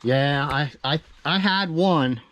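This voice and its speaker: A man's voice: a little high, slow pace, a bit bright. A man in casual hobby chat, speaking in an enthusiastic, agreeable and rambling way as a visiting vlogger. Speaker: vlogger visiting a radio collector.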